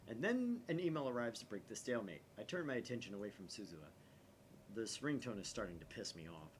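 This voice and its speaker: A man's voice: on the low side, very animated, quick pace, a little hiss or hum. A man voicing a paranoid, melodramatic young self-styled mad scientist in a visual novel, theatrical and suspicious. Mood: wry inner monologue. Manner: theatrical, suspicious, dramatic whispers and outbursts.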